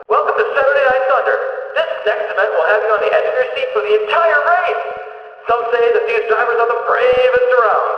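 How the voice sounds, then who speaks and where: A man voicing an excitable, jokey race announcer in a video game, with a booming showman's delivery and drawn-out words. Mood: wry, rousing. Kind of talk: arena sports announcing, scripted game voice lines. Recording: echoing PA in a big venue, distant, dull.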